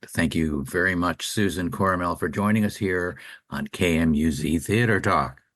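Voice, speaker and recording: A man with a fairly low voice speaks as a radio interview host. He has an upbeat, promotional announcer's delivery. The sound is very dry, with no room around him. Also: moderate pace, a bit bright, no echo.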